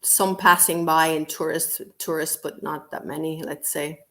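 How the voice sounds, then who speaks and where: Low Irish woman, thoughtful chat. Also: fairly even intonation, unhurried, bright, forceful tone. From Ireland. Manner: thoughtful, hedging with "let's say". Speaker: co-host, teacher. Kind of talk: casual chat.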